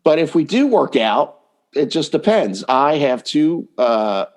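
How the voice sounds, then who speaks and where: A man's voice: on the high side, very animated, measured pace. A man talking casually and explaining his coaching work as a guest in an interview. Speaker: dating coach, podcast guest.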